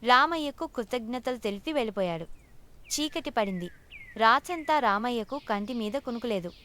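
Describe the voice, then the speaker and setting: A woman's voice: a little high, lively intonation, moderate pace, a bit bright, slight background noise. A woman narrating a scripted moral story for children as a storyteller.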